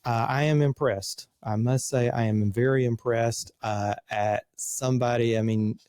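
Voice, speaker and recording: A man's voice: medium pitch, fairly even intonation, measured pace, darkish tone, no room sound, clean studio sound. A man in his fifties hosting an interview, reading a guest introduction and then chatting loosely with fillers. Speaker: podcast host. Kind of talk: interview host.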